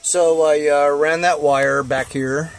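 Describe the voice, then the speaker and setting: A man's voice: a little high, steady tone, slow pace, noisy background. A man talking casually through a hands-on how-to in a blunt, colloquial, grumbling way. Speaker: DIY how-to video maker. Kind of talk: casual hands-on tutorial chat. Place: in and around a cargo van.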